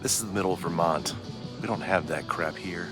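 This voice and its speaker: A man's voice: sits low, fairly quick, close to an everyday voice. A man playing a wary, paranoid bartender and protest organizer in a video game, terse and probing in delivery. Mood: suspicious. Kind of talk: naturalistic video game acting. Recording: guitar music under the voice.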